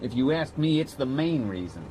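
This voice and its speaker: An actor as a lively, breezy American flyer. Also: male voice, medium pitch, moderate pace, a little hiss or hum, natural voice, rough recording. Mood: matter-of-fact. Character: breezy American aviator nephew, confident and frank. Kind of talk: radio drama acting.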